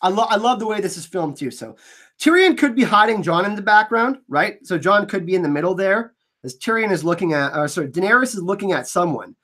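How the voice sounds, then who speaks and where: Excitable man with a slightly scratchy voice. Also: sits high, brisk. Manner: excitable, enthusiastic fan. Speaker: fan channel host. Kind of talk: casual trailer breakdown commentary.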